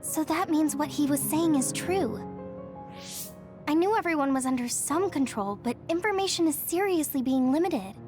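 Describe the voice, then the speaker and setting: A woman voicing a caring, concerned young comrade in a video game, gentle and sympathetic in manner. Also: high-pitched, animated, normal speed. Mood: serious, concerned. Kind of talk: conversational video game voice acting. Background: music.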